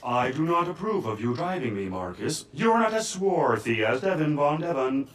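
An actor playing a sarcastic, theatrical young hacker prankster in a game cutscene, with an American accent and a mocking, excitable manner. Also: male voice, a little high, normal speed, echoey room, slight background noise. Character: manic, sarcastic masked male hacker prankster. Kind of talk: video game cutscene acting.

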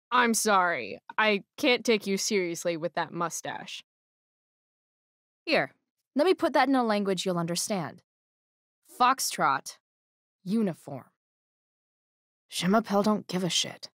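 A woman's voice: medium pitch, expressive, slow, pauses often, a bit bright, dry recording, studio quality. A young woman playing a rebellious, sarcastic teenage girl in a video game, with an American accent and a sardonic, defiant delivery. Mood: mocking defiance. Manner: sardonic, defiant, foul-mouthed.

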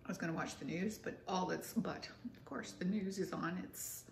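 A raspy, gravelly-voiced woman in her sixties rambles through her day in a plain, matter-of-fact tone. She is recorded on a close camera in a roomy living room with a faint hiss behind her. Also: fairly low pitch, normal speed. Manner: rambling, matter-of-fact, snacking while talking. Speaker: vlogger. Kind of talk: casual chat to camera. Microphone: camera close up on a table.

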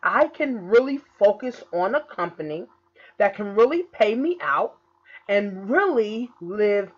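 Woman, early thirties, online business coach, casual pitch, repetitive, indoor room, dull lo-fi webcam audio. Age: 30s. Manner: repetitive, keeps saying "okay?" and "guys". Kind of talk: casual recruiting pitch to camera. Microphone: laptop webcam in screen recording.

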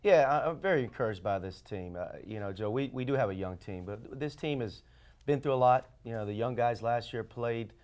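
A middle-aged man, a basketball coach, answering interview questions in a TV studio with measured speech, frequent fillers and restarts. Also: mid-range voice, lively intonation, a little mellow. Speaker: head basketball coach, show guest. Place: TV studio set. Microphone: lapel mic.

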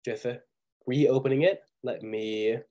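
A man teaching an online coding class over a video call, sick right now, talking in a relaxed, patient way with many pauses and ums. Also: mid-range voice, slight background noise.

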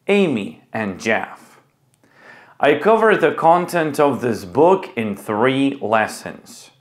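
A middle-aged man speaking as an English teacher, with deliberate, clearly enunciated delivery. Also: medium pitch. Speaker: ESL teacher and video host. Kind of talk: presenting to camera and leading a children's class.

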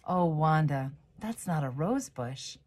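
A woman voicing a kindly, doubtful grown woman neighbor in a storybook, gentle and skeptical. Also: low-pitched, animated, takes it slowly, full character voice.

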